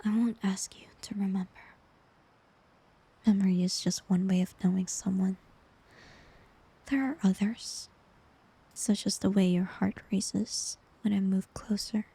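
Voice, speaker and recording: A woman playing a tender, heartbroken bard facing a former lover in an intimate fantasy ASMR roleplay, speaking softly and wistfully in a breathy near-whisper. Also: medium pitch, steady tone, exaggerated voice. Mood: longing. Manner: slow, gentle, wistful delivery with pauses.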